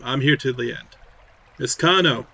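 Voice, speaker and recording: A man's voice: mid-range voice, moderate pace, vocal fry, bright, forceful tone, husky. A man casually playing a tabletop horror game, moving between table talk and speaking as his character.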